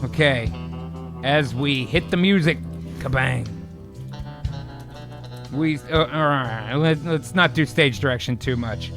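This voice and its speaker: A man's voice: medium pitch, slow, pauses often. A man hosting a comedy improv show, mixing jokey, profane banter with dramatic story narration. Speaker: host and improv performer narrating. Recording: guitar music behind the voice. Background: plucked guitar music.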